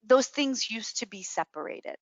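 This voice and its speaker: A woman's voice: on the high side, animated, moderate pace, no echo, highs cut off. A woman giving explanatory career advice in a webinar, emphatic and stressing key words by repeating them. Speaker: career coach presenting a webinar.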